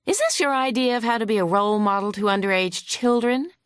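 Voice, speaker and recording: A huffy, snide woman lawyer firing sarcastic questions at a witness, as a woman narrator puts her on. Her voice sits higher and pushier than the narration around it. It is recorded dry and close. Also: lively intonation, a little fast, clean tone, no room sound, lightly acted. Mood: huffy, badgering. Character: sharp huffy woman lawyer cross-examining.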